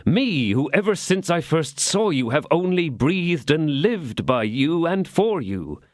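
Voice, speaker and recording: A man voicing an ardent young male swordsman who flatters with theatrical, sentimental pleading. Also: on the high side, moderate pace, dry recording, clean studio sound, pitched above the performer's own voice.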